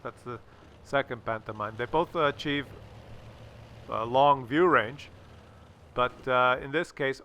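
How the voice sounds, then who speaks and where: A man's voice: medium pitch, pushed and bright, a little hiss or hum. A man giving casual, rambling video game commentary in a conversational, mildly sardonic way. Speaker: gameplay commentator.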